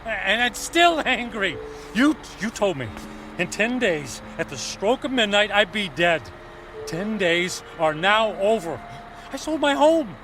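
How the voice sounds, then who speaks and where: High-pitched, agitated, desperate man. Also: big pitch swings, unhurried, fairly bright tone, slight background noise, heavily acted. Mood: angry, accusing. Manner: agitated, stammering, emotional. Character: angry, desperate man who believed himself doomed. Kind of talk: radio drama acting.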